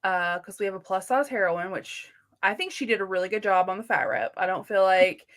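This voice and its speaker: A woman in a casual book discussion as a co-host, talking informally with slangy phrasing and softened opinions. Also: medium pitch, lively intonation, a little fast.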